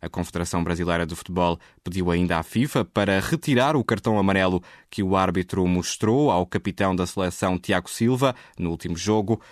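A man reading scripted radio news in a steady, measured way. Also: low voice, dry recording, studio quality. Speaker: newsreader.

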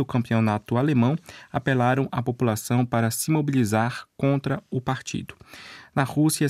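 A man's voice: mid-range voice, a little mellow, no room sound, clean studio sound. A man reading a scripted radio news bulletin as a news presenter. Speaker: news reader.

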